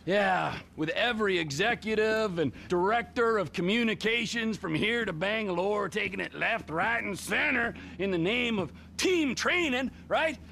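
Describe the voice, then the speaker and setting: An actor playing a crude, volatile middle-aged drifter in a video game, with a taunting, mock-sincere, theatrical manner. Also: male voice, high voice, expressive, normal speed, vocal fry, hardly any pauses, sharp tone, slight background noise, full character voice. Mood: mocking, needling. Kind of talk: video game cutscene acting.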